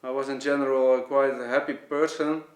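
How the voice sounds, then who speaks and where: Halting Dutch-accented man in an echoey room. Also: mid-range voice, flat delivery. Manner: halting, searching for words, restarting phrases. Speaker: video maker giving a personal testimony. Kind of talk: unscripted personal testimony to camera. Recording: echoey, roomy sound.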